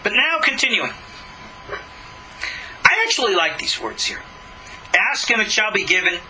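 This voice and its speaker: A man's voice: high-pitched, big pitch swings, moderate pace, pushed and bright, a little hiss or hum, poor audio. A man giving a religious lecture as a rabbi, reading scripture and commenting in an emphatic, argumentative way with rhetorical questions. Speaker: rabbi teaching a class.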